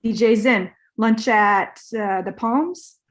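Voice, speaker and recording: Naive washed up actor guy voiced by a woman, highish, casual and earnest, comedy table read. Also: takes it slowly. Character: naive, easygoing fading male movie star.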